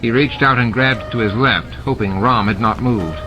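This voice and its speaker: A man's voice: medium pitch, normal speed, noisy background. A man narrating scripted fiction as an audiobook reader. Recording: music and sound effects mixed under narration.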